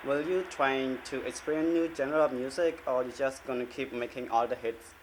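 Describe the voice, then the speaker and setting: A man's voice: medium pitch, fairly even intonation, a little fast, slight background noise, low-quality recording. A man, a Khmer speaker, asking prepared interview questions.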